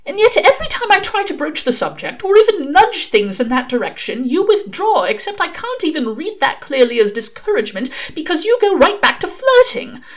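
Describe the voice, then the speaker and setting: A woman puts on a prim, fussy male angel who presses his point with earnest emphasis. His voice leaps about in a lively, sing-song way, high and animated. He sounds well-spoken and fretful. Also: brisk, hardly any pauses. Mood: pleading.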